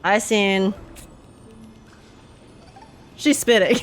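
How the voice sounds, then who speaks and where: Brief: female game streamer, informal and jokey, medium pitch, faint music behind. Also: lively intonation, extremely slow. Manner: informal, joking, slangy, sweary. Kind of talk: casual live commentary, reading dialogue aloud.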